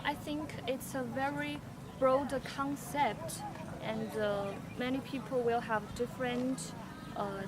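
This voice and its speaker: A young woman, a native Chinese speaker, giving slow, careful answers with many fillers. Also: fairly high pitch. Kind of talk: prepared answers for a language project. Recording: street noise behind.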